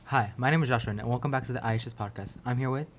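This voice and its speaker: A man's voice: mid-range voice, racing, noisy background. A young man co-hosting a casual podcast, introducing it and putting questions to the guest. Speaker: podcast co-host.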